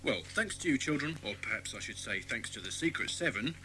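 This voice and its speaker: A man's voice: medium pitch, animated, a little fast, over music, close to an everyday voice, low-quality recording. A man with a British accent playing a genial, formal police inspector in a children's audio drama. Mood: genial, grateful. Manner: formal, polite, jovial. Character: grateful, genial adult male police inspector.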